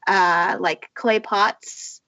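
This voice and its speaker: A woman's voice: mid-range voice, steady tone, drawn-out words, bright, forceful tone, dry recording. A woman co-hosting a podcast, asking long, rambling questions with fillers in a friendly way. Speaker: podcast co-host. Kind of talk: casual interview chat. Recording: dull lo-fi sound.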